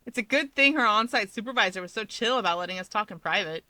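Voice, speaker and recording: A woman's voice: medium pitch, lively intonation, fast talker, sharp tone, no room sound. A woman narrating scripted fiction expressively as a storyteller.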